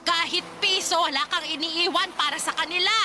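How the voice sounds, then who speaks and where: A woman's voice: very high-pitched, fairly even intonation, fairly quick, noisy background. A woman voicing a stern grandmother who scolds her grieving, drinking son, reproachful in a radio drama. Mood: pleading. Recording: music bed underneath.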